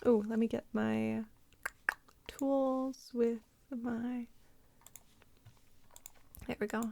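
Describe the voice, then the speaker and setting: A woman speaking softly in an ASMR style, murmuring to herself as she works at a keyboard, at times dropping to a whisper. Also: on the high side, gravelly. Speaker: ASMR roleplay creator and scriptwriter. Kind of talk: ASMR, quiet murmured aside while working.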